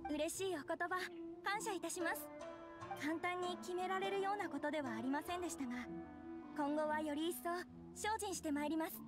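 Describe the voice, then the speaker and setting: High-voiced, polite young noblewoman. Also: a little fast. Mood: polite, composed. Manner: formal, humble, soft-spoken politeness. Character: polite, gentle young noblewoman with quiet worries. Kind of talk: Japanese video game voice acting. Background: background music.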